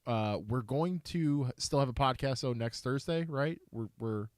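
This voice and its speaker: A man's voice: mid-range voice, unhurried, clean tone, dry recording, clean studio sound. A man in his thirties co-hosting a casual podcast, loose and playful, laughing often. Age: 30s. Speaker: podcast co-host. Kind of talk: casual chat.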